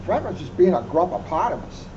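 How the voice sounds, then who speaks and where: A police officer reading a children's picture book aloud, adding wry asides. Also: male voice, fairly high pitch, sing-song, brisk, darkish tone. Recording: muffled lo-fi recording with faint hum.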